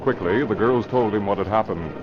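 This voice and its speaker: A man's voice: on the low side, a little fast, over loud music, natural voice. A man narrating an old-time radio drama in a dramatic scripted style. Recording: noisy muffled vintage radio recording.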